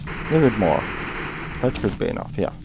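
A man's voice: fairly low pitch, big pitch swings, a little fast, background noise. A man with a Finnish accent casually commentating his gameplay, a Finnish native speaker hesitating and searching for English words. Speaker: gaming channel host. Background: game music.

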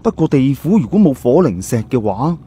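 A man's voice: mid-range voice, very animated, a little fast, warm tone, breathy edge, pitched above the performer's own voice. A man playing an earnest, thoughtful young adventurer in an audiobook, explaining plans seriously. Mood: worried, urgent. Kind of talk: audiobook character acting.

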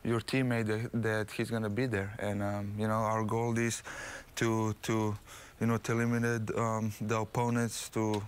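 Halting man with a Slovene accent. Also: lowish voice, monotone, smooth. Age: 30s. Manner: modest, halting, many fillers. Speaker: pro basketball player, guest. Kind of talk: postgame interview. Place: TV studio set.

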